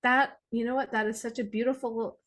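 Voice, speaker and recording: A woman's voice: fairly high pitch, steady tone, brisk, fairly bright tone. A woman with a Canadian accent hosting a conversational podcast interview, speaking in an effusive, earnest way. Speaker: podcast host.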